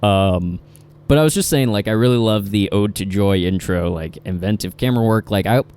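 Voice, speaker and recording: A man doing casual improvised comedy banter, talking in a halting, rambling way. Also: fairly low pitch, darkish tone, clean tone. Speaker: improv podcast performer.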